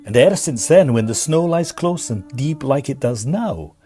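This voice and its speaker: A man plays a Scottish countryman of the Victorian age in an audio drama. His folksy, superstitious storytelling rises and falls widely around a medium pitch. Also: lively intonation, a little slow, mellow, faint music behind, natural voice. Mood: ominous storytelling. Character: superstitious Scottish countryman telling an old ghost legend. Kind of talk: period audio drama acting.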